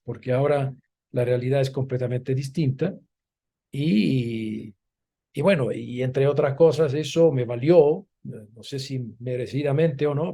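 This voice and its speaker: An older Italian-born man speaks in a mellow, relaxed voice at an ordinary pitch. He is a guest in an interview, and his answers come slowly and thoughtfully, with many pauses and restarts. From Italy. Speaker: guest academic.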